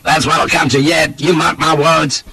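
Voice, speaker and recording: Audiobook role: profane, blustering old gentleman, acted by a man, husky and forceful, lecherous and full of himself. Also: on the high side, steady tone, measured pace, pitched above the performer's own voice, exaggerated voice.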